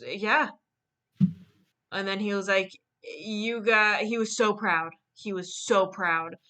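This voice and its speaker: A woman's voice: mid-range voice, steady tone, slow, pushed and bright. A woman chatting casually as she hosts and retells a silly story, playfully acting out the quoted lines. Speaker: host of a live online dramatic reading and drawing stream.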